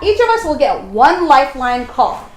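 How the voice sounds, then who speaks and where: A woman from Samoa runs a lighthearted family word game, keeping things moving with a playful lead. Her voice sits high. The room is echoey, with faint music underneath. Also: sing-song, normal speed. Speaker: vlog host. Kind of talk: casual family game show.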